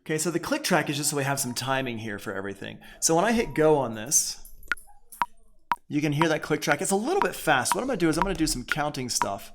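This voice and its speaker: Casual male audio teacher with creaky voice. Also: on the high side, big pitch swings, quick pace, noisy background. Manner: informal, thinking aloud as he works. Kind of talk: casual live online software tutorial.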